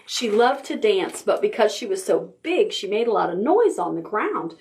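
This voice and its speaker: A woman leading a preschool storytime as a children's librarian, reading a picture book aloud in an expressive, rhythmic way with drawn-out words. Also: mid-range voice.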